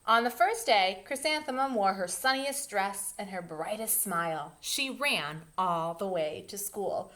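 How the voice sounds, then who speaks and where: A woman with a bright, forceful voice reads a children's storybook aloud. Her pitch moves freely, and she leans on words and stretches them out. She speaks as a host who steps in with asides for young listeners. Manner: animated, emphatic, drawn-out words for young listeners. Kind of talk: expressive storybook reading with asides.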